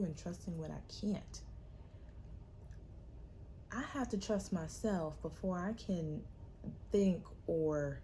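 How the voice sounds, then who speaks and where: Young woman podcast host, low gravelly voice, sassy and emphatic, faint hiss behind. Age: young adult. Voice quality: loud, projecting voice. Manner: animated, sassy, emphatic repetition. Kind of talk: casual solo podcast talk.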